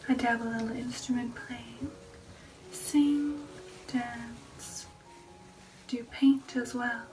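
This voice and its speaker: A woman plays a refined lady vampire in an ASMR roleplay, whispering slowly and intimately in a breathy, fairly high voice. Her speech is genteel and affectionate. The voice sits a little way from the mic, with music underneath. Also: fairly even intonation, darkish tone, far from the mic, rough recording. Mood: coy, curious. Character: refined, affectionate female vampire recounting an old shipboard romance. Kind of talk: ASMR roleplay, personal attention.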